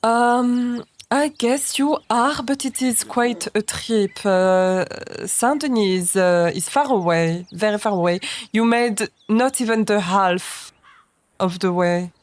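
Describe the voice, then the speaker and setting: A woman's voice: mid-range voice, slow pace, natural voice. A woman with a French accent, playing a frank and curious young French woman on horseback who speaks plainly in slightly broken English. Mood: curious, chatty. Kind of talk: role-play in a western game.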